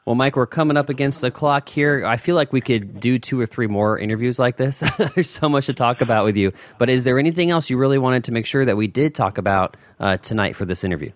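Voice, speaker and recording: A man's voice: mid-range voice, quick pace, hardly any pauses. A man hosting a casual podcast interview, polite and appreciative.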